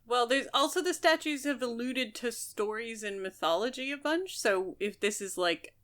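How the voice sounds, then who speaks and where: A woman's voice: on the high side, expressive, normal speed, a bit bright. Woman co-hosting a casual book podcast. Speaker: podcast co-host.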